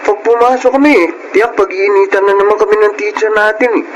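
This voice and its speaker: A man's voice: high voice, fairly even intonation, a little fast, lo-fi sound, a little hiss or hum, lightly acted. A man playing a sly, freeloading young student in a Filipino radio drama, with a wheedling manner.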